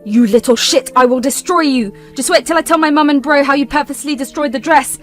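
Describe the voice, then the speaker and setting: A woman voicing a spiteful, manipulative young woman who talks loud, shrill and taunting. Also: expressive, brisk, soft background music, lightly acted. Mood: furious.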